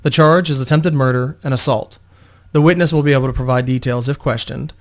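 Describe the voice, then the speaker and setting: A composed woman adventurer from a fantasy audiobook, read by a man in a medium-pitched voice. She is soothing, decisive and protective, speaking in a matter-of-fact way. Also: a little fast, natural voice. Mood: businesslike. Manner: calm, reassuring, matter-of-fact. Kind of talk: dramatic fantasy story reading.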